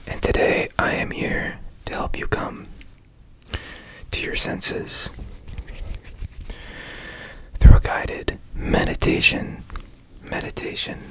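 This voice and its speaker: Whispering man, slow and gentle. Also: low-quality recording. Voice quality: soft breathy whisper. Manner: gentle, slow, soothing instruction. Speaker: ASMR host guiding a meditation. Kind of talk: whispered ASMR guided meditation. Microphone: close studio microphone.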